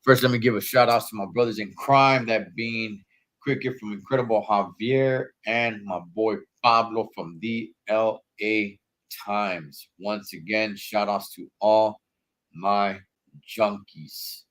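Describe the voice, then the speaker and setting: A man's voice: on the low side, steady tone, takes it slowly, a bit bright. A man with a stuffy nose from allergies, hosting a casual wrestling livestream from a hotel room in laid-back slangy talk. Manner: laid-back slangy talk, calls viewers dog and Holmes.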